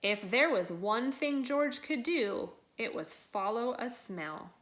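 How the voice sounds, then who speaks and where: A woman reading a children's picture book aloud in an expressive, dramatic and deliberate way. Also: fairly high pitch. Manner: dramatic, deliberate delivery with acted sound words. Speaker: storybook reader.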